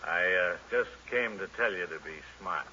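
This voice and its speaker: A man's voice: deep voice, normal speed, a crowd in the background, natural voice. An actor playing a big, unruffled gunman making laconic threats in an old-time radio noir drama. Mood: calm menace. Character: big, cool, menacing male gunman. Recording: hissy, dull vintage radio recording.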